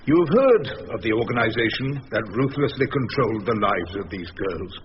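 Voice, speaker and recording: A man's voice: medium pitch, big pitch swings, normal speed, natural voice. An actor playing a wry, stubborn older English barrister in a radio drama, rhetorical and drily pompous as he argues and narrates. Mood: earnest pleading. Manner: rhetorical, pompous, dryly ironic. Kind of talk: radio drama acting and first-person narration. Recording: muffled radio recording with faint noise.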